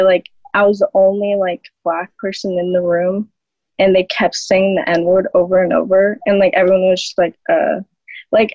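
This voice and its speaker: A woman speaking haltingly in an interview, with many filler words. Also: medium pitch, a little mellow, no room sound, lo-fi sound.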